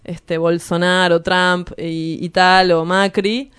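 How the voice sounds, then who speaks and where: A woman's voice: fairly low pitch, flat delivery, slow, fairly bright tone, clear, resonant tone. A woman with an Argentine Rioplatense accent, chatting casually on a radio show in a playful, irreverent, slangy way. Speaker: radio host and columnist.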